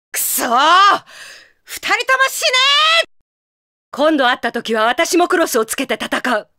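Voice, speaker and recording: A high-pitched, fierce anime warrior woman. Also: pushed and bright, dry recording, clean studio sound, heavily acted. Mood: furious, hostile. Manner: tough, commanding, rough-spoken. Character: proud, fierce young female warrior, secretly tender. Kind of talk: Japanese anime game voice acting.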